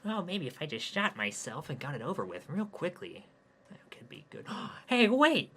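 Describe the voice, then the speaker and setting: A man's voice: fairly high pitch, wildly swooping pitch, normal speed, a bit bright, voice pushed up, full character voice. A man voicing a pathetic, self-pitying young man who whines and hesitates with sighing "oh"s. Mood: whiny. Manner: whiny, sighing, hesitant with many "oh" and "uh".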